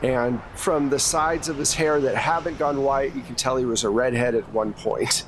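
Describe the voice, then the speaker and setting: A man's voice: medium pitch, fairly even intonation, normal speed. Game master, male, mid-range voice, casual tabletop narration, audible background noise.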